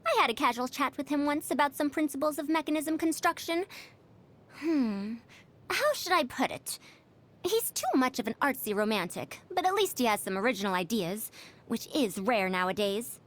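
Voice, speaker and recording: A woman's voice: sits high, lively intonation, normal speed. A woman voicing a proud, fussy century-old scholar with a youthful girlish voice, pompous and lecturing, for a video game. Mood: musing. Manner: pompous, lecturing, old-fashioned elder phrasing. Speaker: game character voice. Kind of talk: animated video game voice lines.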